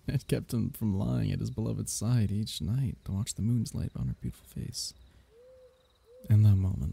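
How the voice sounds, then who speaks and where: A man voicing a gentle, loving royal diviner, telling a bedtime myth as ASMR in a tender, archaic, unhurried, husky way. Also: fairly low pitch, heavily acted. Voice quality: husky, gravelly. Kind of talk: ASMR bedtime story roleplay.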